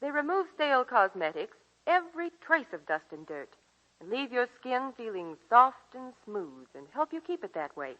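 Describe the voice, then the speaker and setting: A woman's voice: on the high side, big pitch swings, normal speed, fairly bright tone. An actress playing an eager, chirpy young woman in a scripted 1940s radio commercial. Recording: muffled vintage 1940s radio broadcast.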